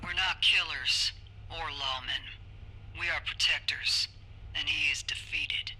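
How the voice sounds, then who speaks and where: Stern, forceful female captain. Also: low-pitched, a little slow, slightly rough, heavily acted, rough recording. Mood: firm. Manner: commanding, curt. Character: stern, decisive female captain, mech-piloting protector. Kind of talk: video game voice acting.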